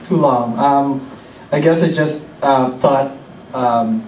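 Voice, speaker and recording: A man introducing a community event, halting and full of ums and uhs. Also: medium pitch, a little mellow. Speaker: event host. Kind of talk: hesitant opening remarks. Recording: echoey hall, distant mic, noisy.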